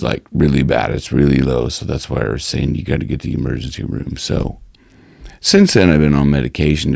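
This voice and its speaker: Hoarse guy with a super low gravelly voice, rambling vlog chat, dry close sound. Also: a little mellow, dry recording. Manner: rambling, many restarts and fillers. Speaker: singing coach and vlogger. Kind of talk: casual health update vlog.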